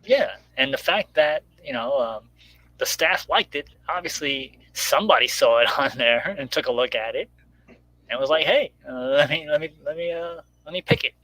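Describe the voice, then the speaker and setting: A man's voice: on the high side, animated, moderate pace, sharp tone, low-quality recording. Man, mid-range voice, casual conversational delivery, informal, rambling, guest creator. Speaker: guest, comic book creator promoting crowdfunding. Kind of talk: casual chat.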